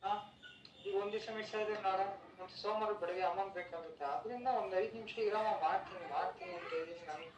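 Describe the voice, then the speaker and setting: A man's voice: sits high, fairly even intonation, quick pace, muffled recording, noisy background. Curt, irritated man on speakerphone. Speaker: husband on a phone call. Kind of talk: casual phone conversation. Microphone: phone on speaker. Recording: distant, roomy speakerphone sound.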